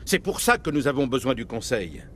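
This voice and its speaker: A commanding middle-aged starship captain in a video game. He is grave and measured and speaks with military authority. A faint rumble sits behind the voice. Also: very animated, fairly bright tone. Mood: urgent, grave. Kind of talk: dramatic video game dialogue.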